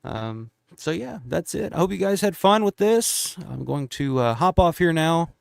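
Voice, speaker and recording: Stuffy-nosed guy hosting a live stream, rambly with lots of ums, smooth voice, dry close sound. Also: fairly high pitch, animated, measured pace, no echo. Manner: rambling, many fillers and restarts. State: congested, losing his voice. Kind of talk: casual live stream chat.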